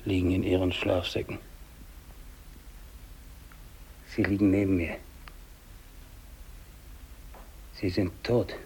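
An actor playing a dying, despairing English polar explorer alone, brooding and halting, in a 1950s German radio drama monologue. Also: male voice, low-pitched, flat delivery, low-quality recording. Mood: bleak, brooding. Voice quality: gravelly, husky. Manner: halting, brooding, repeats words, hushed to declamatory.

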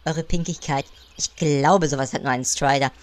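A woman's voice: low voice, fairly even intonation, normal speed, close to an everyday voice. A woman playing a hesitant, deferential gnome royal advisor in a comedic fantasy radio play.

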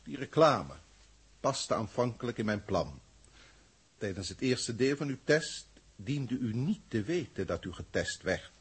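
Pompous husky alien commander. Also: male voice, mid-range voice, very animated, exaggerated voice. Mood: lofty, explanatory. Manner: formal, pompous, deliberate. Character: lofty alien commander of a galactic starship, male. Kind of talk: 1960s Dutch science fiction radio play acting.

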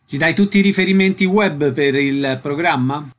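A man's voice: fairly high pitch, moderate pace, fairly bright tone, faint music behind. A man hosting a radio interview, giving short questions and announcements.

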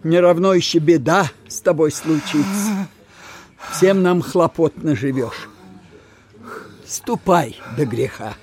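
An actress playing a brisk, insistent peasant servant woman in a radio play, with rustic folk speech. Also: low voice, darkish tone, a little hiss or hum. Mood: firm, uneasy. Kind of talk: Soviet radio theatre acting.

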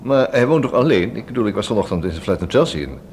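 An actor playing a polite, inquisitive detective inspector in a Dutch radio play. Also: male voice, on the low side, very animated, fast, darkish tone, slight background noise. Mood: courteous. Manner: courteous, inquisitive. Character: brisk, polite Scotland Yard detective inspector.

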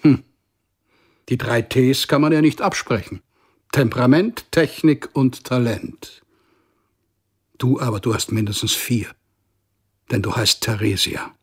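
A man's voice: medium pitch, measured pace, pauses often, breathy edge. A man acting a strict, ambitious father and court official in a German radio drama, pompous, cajoling and demanding. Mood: nagging, demanding.

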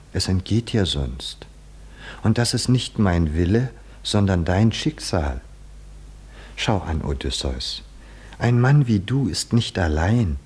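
An actor playing a solemn, nearly blind poet-seer in a 1950s German radio drama, admonishing a hero in a deliberate, oracular way. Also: male voice, deep voice, a little mellow, husky, heavily acted.

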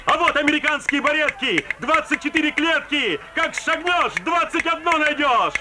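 An actor playing a cheeky, simple Russian fairground huckster in a theatrical folk-tale audio play, with rhyming barker's patter. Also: male voice, very high-pitched, moderate pace, background noise. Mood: boastful hawking.

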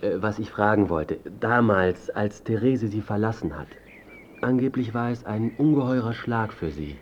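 An actor playing a pushy, self-important male biographer in a radio drama, smooth-talking and evasive. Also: fairly low pitch, moderate pace, lo-fi sound, slight background noise, natural voice. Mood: evasive, nervous. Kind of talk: radio drama dialogue and narration.

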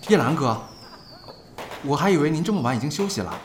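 A man's voice: fairly high pitch, animated, fast talker, natural voice. A man playing a polite, mild young performer in an audio drama, speaking with deference. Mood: respectful, surprised. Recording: echoey, very loud music behind.

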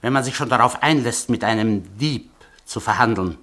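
A man's voice: medium pitch, moderate pace, background noise, lightly acted. An actor playing a sly, mocking male informant who blackmails with oily politeness in a radio play. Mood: threatening. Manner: oily, mocking politeness, tells threats as a fairy tale.

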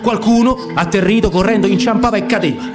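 A man reading a novel aloud for radio theatre, telling it expressively with lively shifts in pace. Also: high-pitched, fast. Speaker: narrator. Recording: music underneath the narration.

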